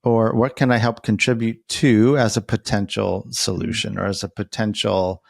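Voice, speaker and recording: Male interviewer: low gravelly register, close dry sound, meandering reflective delivery, casual conversation. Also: level tone, normal speed, dry recording. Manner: rambling, reflective, hedging. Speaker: interviewer who works as a therapist.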